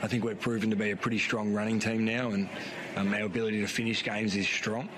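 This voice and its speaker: A hoarse man, a head coach answering post-match press questions frankly, with many fillers and restarts. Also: fairly low pitch, level tone, fast, background noise.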